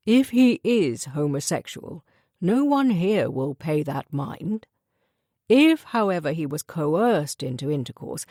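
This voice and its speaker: A woman's voice: on the low side, dark tone, no echo, clean studio sound. A woman reading an audiobook, voicing an evasive male school official who speaks in a pompous, formal way.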